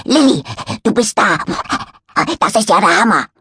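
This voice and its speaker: A woman's voice: mid-range voice, animated, normal speed, a bit bright, breathy edge, no echo, voice pushed up. A woman voicing a small, affectionate, overexcited male dog in a children's story, eager and breathless. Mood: overjoyed. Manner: breathless, eager, pleading, yappy.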